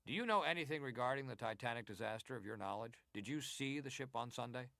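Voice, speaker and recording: A senator at a historical inquiry, speaking in a middle-pitched voice with a dry, close sound. His questioning is formal, deliberate and probing, as in a dramatized hearing. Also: animated, gravelly, bright, forceful tone, no room sound. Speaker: actor reading hearing testimony.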